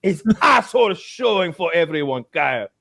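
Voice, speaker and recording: A man putting on the voice of a stern older male coworker who scolds loudly about sagging pants. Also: on the high side, very animated, gravelly, full character voice. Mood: scolding. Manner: loud, scolding, slow emphatic words.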